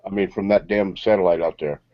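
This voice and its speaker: A man's voice: deep voice, fairly even intonation, brisk, highs cut off. A man in a heated online voice chat, blustering, cursing and repeating himself. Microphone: computer speakers, no headset.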